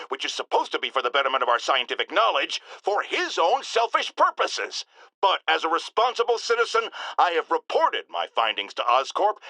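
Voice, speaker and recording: A man's voice: on the high side, no echo, exaggerated voice, rough recording. An actor playing a blustering, self-righteous middle-aged American man, a pompous talk radio host who delivers theatrical, indignant rants in a video game. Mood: suspicious, smug. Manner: pompous, emphatic, theatrical, swinging into outraged shouts. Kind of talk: bombastic talk-radio monologue.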